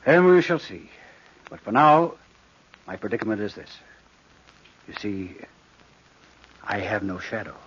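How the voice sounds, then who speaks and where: An actor playing a cunning living shadow posing as a prince in an old-time radio drama, suave and courtly, with theatrical menace. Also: male voice, on the low side, very animated, a little slow, pauses often, fairly bright tone, breathy edge, exaggerated voice. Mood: silky menace. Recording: dull vintage radio recording.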